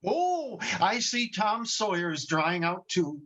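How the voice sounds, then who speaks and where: A man playing a gentle, earnest, bumbling guardian angel with a hesitant, genteel manner in 1940s radio drama style, recorded over a video call. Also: sits high, big pitch swings, takes it slowly, full character voice. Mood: flustered, earnest. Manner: hesitant, old-fashioned, genteel. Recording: dull, muffled video-call audio.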